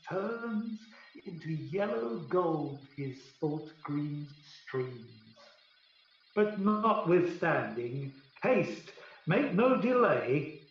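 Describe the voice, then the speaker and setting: A man acting a regal, commanding fairy king in a Shakespearean radio play, declaiming verse in stately phrases with long pauses. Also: a little high, exaggerated voice. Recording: very echoey, distant, slightly dull.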